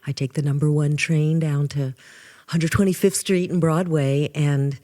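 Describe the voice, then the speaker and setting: Older New woman with a low voice. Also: a little slow. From New York. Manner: animated storyteller, restarts often. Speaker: guest musician. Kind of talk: casual interview.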